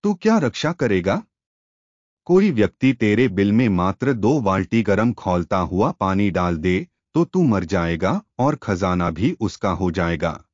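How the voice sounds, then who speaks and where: A man's voice: lowish voice, very animated, measured pace, a little mellow, no echo, lo-fi sound. A man voicing a quarrelsome, menacing serpent who argues scornfully in a folk tale. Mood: angry, scornful. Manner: accusing, scornful.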